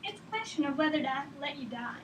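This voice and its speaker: Woman acting high-voiced probing visitor. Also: expressive, fast talker, fairly bright tone. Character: direct woman visitor questioning a sick patient. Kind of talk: table read of a drama. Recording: echoey room, distant mic, faint noise.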